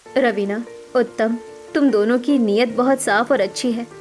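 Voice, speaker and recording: A poor village mother, played by a woman, speaks gently in a high voice. She is dutiful and earnest in a cartoon family melodrama, with music behind her. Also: fairly even intonation, measured pace, natural voice. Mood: gentle appeal.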